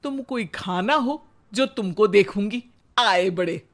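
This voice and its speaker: A woman acts out an old Indian mother-in-law from a comic cartoon tale. She is bossy, greedy and quick to take offence. Her scolding comes in slow, theatrical lines at an ordinary female pitch. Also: natural voice. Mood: snappy. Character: bossy, gluttonous, short-tempered old Hindi mother-in-law. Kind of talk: comic animated moral story acting.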